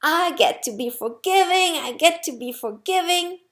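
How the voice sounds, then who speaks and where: A woman voicing an eager, excitable, innocent little soul in a storybook, childlike and earnest. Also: extremely high pitch, normal speed, voice pushed up. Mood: joyful, excited. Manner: exclaiming, earnest.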